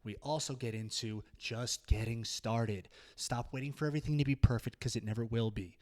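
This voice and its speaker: A man's voice: medium pitch, brisk, a little mellow. A man hosting a podcast interview in a casual, informal way. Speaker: podcast host.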